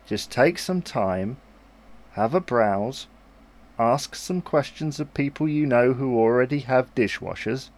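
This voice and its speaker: Man acting as patient husband, animated mid-range voice, reassuring, coaxing, domestic audio drama. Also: medium pitch, slow. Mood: encouraging. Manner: gentle, reassuring, persuasive. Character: Harry, patient, reasonable husband and new father, coaxing.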